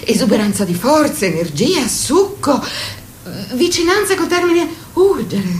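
An actress plays a solemn woman lecturer declaiming definitions for radio theatre. Her fairly high voice moves very slowly in an echoing space. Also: very animated. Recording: roomy echo, faint background noise.

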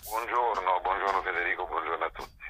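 Very deep, rough, forceful man. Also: fairly quick, poor audio. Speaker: festival director, interview guest. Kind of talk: spontaneous radio interview.

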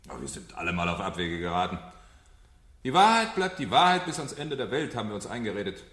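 An actor playing a mocking fire captain with a falsely hearty, theatrical, menacing manner in a 1970s German radio drama. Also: male voice, fairly low pitch, wildly swooping pitch, quick pace, a bit bright. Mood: declaiming, taunting. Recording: echoey room, vintage radio play.

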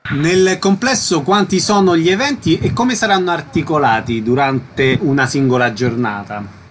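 A man's voice: a little high, moderate pace, slight background noise. A man working as a radio interviewer, putting questions to guests.

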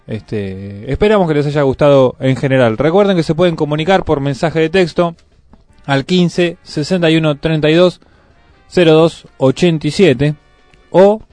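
Higher-voiced Argentine radio host, a man. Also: darkish tone, no room sound. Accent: Buenos Aires area Argentine. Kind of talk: live local radio hosting, conversational.

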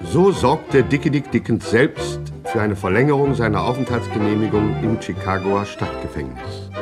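A man narrating a radio serial in a theatrical, grandiose, mock-sensational scripted style. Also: medium pitch.

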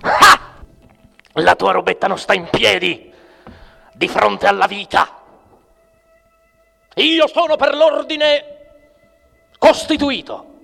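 A man's voice: high-pitched, takes it slowly, creaky, pauses often, exaggerated voice. An actor playing a bitter, cynical everyman in a declamatory theatrical monologue with music. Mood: strident, indignant. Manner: declamatory, swinging from muttering to shouting. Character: bitter, ranting, cynical everyman raging at the bosses. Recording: long reverberant hall, music behind.

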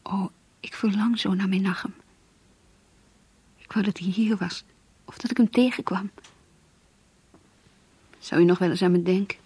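A woman playing a naive, pious schoolgirl in love, breathy and earnest, confiding her longings in a radio play. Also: mid-range voice, fairly even intonation, moderate pace, pauses often, warm tone, no room sound. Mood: yearning. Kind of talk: radio drama, diary-like monologue and dialogue.

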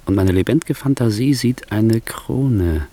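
A man's voice: lowish voice, animated, unhurried, mellow. An actor playing a scheming, ambitious nobleman in a German radio verse drama, speaking in a theatrical, insinuating, rhetorical manner. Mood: hushed, insinuating. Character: scheming ambitious nobleman, smooth-tongued, ruthless tempter.

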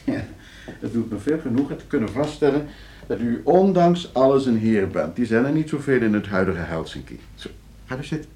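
A smug, scheming lawyer in a radio drama, played by a man. His Netherlands Dutch is suave and condescending, swinging widely in pitch as he repeats himself with false hospitality. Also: expressive, brisk, warm tone, lightly acted. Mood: needling, smooth. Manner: suave, hesitant repetitions, condescending. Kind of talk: radio play acting.

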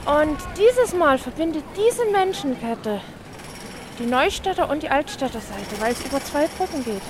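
A woman's voice: high voice, sing-song, moderate pace. A woman from Dresden speaks spontaneously and emphatically in a street interview, often repeating herself. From Dresden, eastern Germany. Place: city street, outdoors. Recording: noisy outdoor street recording.

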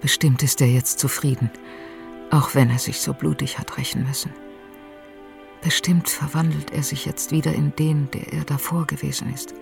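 Anxious, creaky-voiced landlady. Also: low-pitched, fairly even intonation, normal speed, soft background music. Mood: desperate hope. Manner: fearful, brooding, intense. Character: anxious, troubled landlady and wife. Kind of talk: German radio drama acting.

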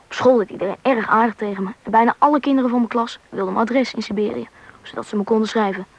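An actor with a woman's register playing an eager, curious schoolboy in a radio play, chatty and husky. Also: on the high side, steady tone, fast. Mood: reflective narrating. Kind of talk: radio play acting with first-person narration.

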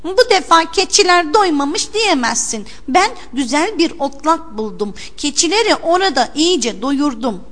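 Cheeky young folk-tale trickster female narrator acting, high, bold and declaiming, in a reverberant space. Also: sing-song, measured pace, hardly any pauses, a bit bright, heavily acted. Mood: bold, insistent. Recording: long hall-like reverb.

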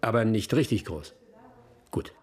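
An actor in a radio crime drama playing a patient middle-aged detective, questioning politely and methodically in a husky voice. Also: male voice, lowish voice, lively intonation, a little slow, darkish tone.

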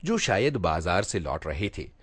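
A man reading a literary short story aloud as an expressive narrator. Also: low-pitched, measured pace, husky, no echo.

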